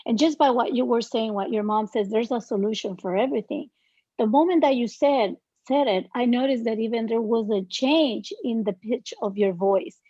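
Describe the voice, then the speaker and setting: A woman with a Dominican Spanish accent speaks with a fairly high voice, earnest and reflective, pausing often to find her words. The sound is dull and lo-fi, with a completely dry, close capture. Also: mellow, no room sound. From Dominican Republic. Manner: earnest, reflective, with frequent pauses and fillers. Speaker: guest. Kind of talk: podcast interview.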